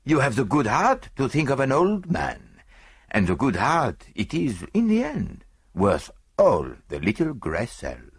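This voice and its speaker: A man's voice: medium pitch, wildly swooping pitch, a little slow, breathy edge, dry recording, clean studio sound, pitched above the performer's own voice, exaggerated voice. A man voicing an old Belgian detective with a French-speaking accent and a courteous, quaintly formal manner. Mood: genial, teasing. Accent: French-speaking foreign. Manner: formal, quaint phrasing with small murmured asides.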